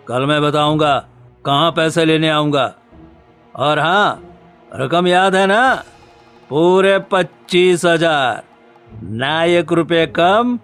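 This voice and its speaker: Man acting a cartoon blackmailer, high-pitched, slow taunting delivery, smug, scheming, theatrical. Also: fairly bright tone, smooth. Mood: mocking, demanding. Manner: taunting, drawn-out, theatrical menace. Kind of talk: Hindi cartoon story dubbing.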